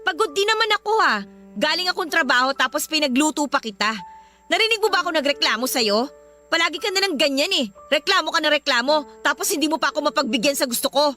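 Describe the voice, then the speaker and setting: An actress playing a pushy, amorous young Filipino wife who takes offense quickly in a radio drama. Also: female voice, high voice, expressive, pushed and bright, faint music behind. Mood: hurt, indignant.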